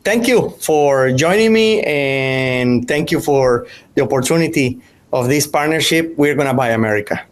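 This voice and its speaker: A man's voice: medium pitch, lively intonation, moderate pace. A man from Mexico with a Mexican Spanish accent, a native Spanish speaker who hosts the interview and often stops to search for words in English. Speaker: podcast host. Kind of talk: conversational interview.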